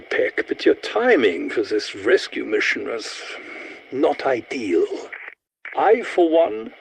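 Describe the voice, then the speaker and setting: An elderly German scientist for a video game, haughty, excitable and sardonic. His fairly high voice swings widely in pitch as he picks his words slowly and precisely, with theatrical disdain. There is some noise behind him. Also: heavily acted, poor audio. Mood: disdainful, sardonic. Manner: pompous, theatrical, precisely worded and condescending. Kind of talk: video game character voice lines.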